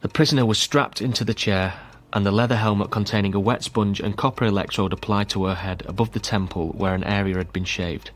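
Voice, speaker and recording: An actor playing a sober man reading out a grim account in a measured, matter-of-fact way in a radio drama. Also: lowish voice, fairly even intonation, close to an everyday voice. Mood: solemn.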